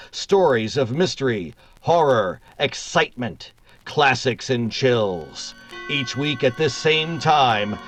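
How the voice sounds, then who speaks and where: An actor as a slow, formal radio announcer man. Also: mid-range voice, a bit bright. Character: formal, measured 1940s network radio announcer. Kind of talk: 1940s radio announcing, scripted. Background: music.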